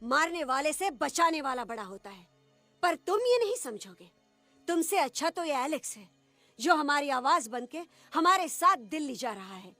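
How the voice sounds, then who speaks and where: A principled cartoon mother animal, firm and indignant. Her voice is high and forceful, recorded dry with music underneath. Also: very animated, moderate pace, dry recording, full character voice. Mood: indignant, resolute. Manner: firm, declamatory. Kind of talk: animated film dramatic acting.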